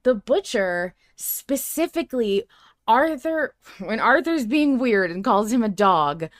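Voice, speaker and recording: A woman co-hosting a fan podcast, chatting casually and reading out emails in an animated, emphatic way. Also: on the high side, slow pace. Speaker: podcast co-host.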